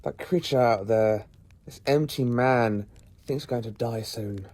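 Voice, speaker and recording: A man playing an analytical, protective professor in an audio drama, measured and musing aloud. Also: mid-range voice, a little mellow. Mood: thoughtful, grave. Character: calm, analytical male professor and protector battling the supernatural.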